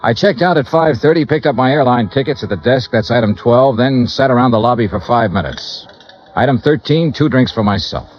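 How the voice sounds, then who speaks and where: An American actor playing a weary, clipped insurance investigator in a 1950s radio noir drama, narrating his own case in a deadpan way. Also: medium pitch, fairly quick, natural voice. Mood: weary, dry narration. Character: hard-boiled, weary male insurance investigator. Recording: dull vintage radio recording, music behind.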